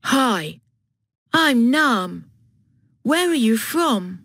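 Young schoolboy, higher voice, slow clear greetings, dry sound. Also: female voice, very animated, fairly bright tone, no room sound, heavily acted. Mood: friendly. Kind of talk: textbook dialogue recording.

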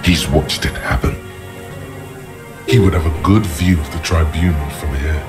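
An actor voicing the brooding inner voice of a detective's mind, narrating a video game in an ominous, deliberate way. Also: male voice, a bass voice, over music. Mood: urging. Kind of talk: scripted second-person game narration.

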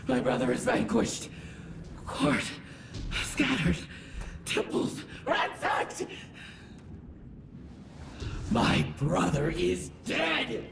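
A woman playing a vengeful, grieving alien war goddess in a video game, delivering a grandiose, theatrical monologue in a rasping voice. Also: medium pitch, noisy background, heavily acted. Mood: anguished rage. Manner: grandiose, slow, theatrical, swinging from whispers to shouts. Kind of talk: dramatic game audio-log monologue. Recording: echoey, distant voice with music underneath.

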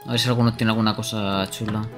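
Young man streaming over loud music. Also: fairly low pitch, level tone, fast. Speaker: game streamer. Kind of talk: casual live gameplay commentary. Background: loud game music.

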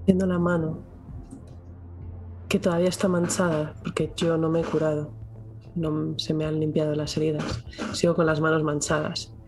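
Even-toned woman narrating her game character. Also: low voice, normal speed, long pauses, soft background music, low-quality recording. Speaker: tabletop game player. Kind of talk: first-person in-character narration.

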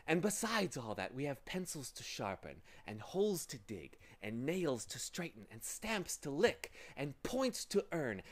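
A man's voice: mid-range voice, pitched above the performer's own voice. A man voicing a sour, gloating demon of petty tasks from a storybook, theatrical and menacing with false sweetness. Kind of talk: storybook character voice.

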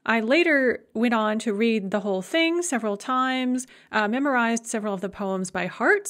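A woman's voice: on the high side, a bit bright. A middle-aged woman giving a recorded university lecture in a conversational way, with frequent filler sounds.

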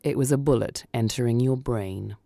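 A man voicing a calm, menacing son of Death in a story, grave, lucid and deadpan. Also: medium pitch, moderate pace, creaky, no room sound, studio quality, natural voice. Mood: grave, impatient.

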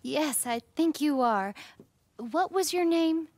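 A young female actor playing a guarded, polite widowed mother in a video game, speaking in a measured way. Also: high voice, animated, darkish tone, no echo, full character voice. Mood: wary, sad. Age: young adult. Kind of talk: video game character acting.

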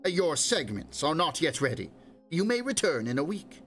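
A man voicing a smooth-talking roguish quarry worker who spins evasive explanations, in an audiobook reading. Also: a little high, big pitch swings, normal speed, a bit bright, soft background music, pitched above the performer's own voice. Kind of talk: audiobook character voice.

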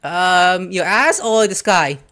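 A man's voice: high voice, slow, bright, forceful tone. A man streaming a game, reading dialogue aloud and making casual jokey comments. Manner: reads game text aloud, jokey asides. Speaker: gameplay streamer. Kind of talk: casual let's-play commentary.

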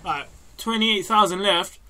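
A young man, giving loud, excitable, sweary commentary over a game, his voice going hoarse. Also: sits high, a little slow. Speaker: gaming YouTuber. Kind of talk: excited pack-opening commentary. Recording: game music playing behind.

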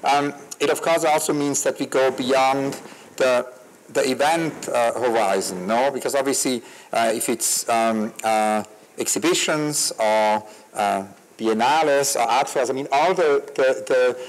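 A man curator speaking on a panel, quick and associative with fillers, on a handheld mic at an outdoor garden stage. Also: medium pitch, low-quality recording. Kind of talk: panel discussion.

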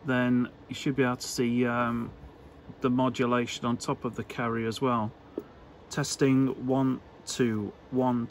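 Slow, hesitant man demonstrating at a workbench. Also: mid-range voice. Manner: hesitant, unscripted, halting phrasing. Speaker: electronics hobbyist presenter. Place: indoor electronics workbench. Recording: clear background noise.

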